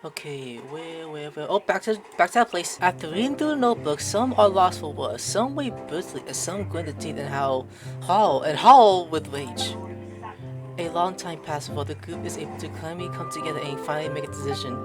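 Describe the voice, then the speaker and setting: A woman hosting a gameplay video with very lively, swooping intonation. She stumbles and repeats words as she comments and reads along, with faint music behind. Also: sits low. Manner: halting, excitable, repeats words. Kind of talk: game commentary, reading narration aloud.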